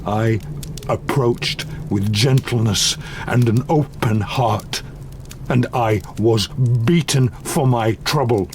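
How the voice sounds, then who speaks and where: A man playing a lonely, wounded, eloquent monster in an audio drama, speaking slowly and solemnly. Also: medium pitch, background noise, lightly acted. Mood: bitter, wounded. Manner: slow, deliberate, solemn storytelling.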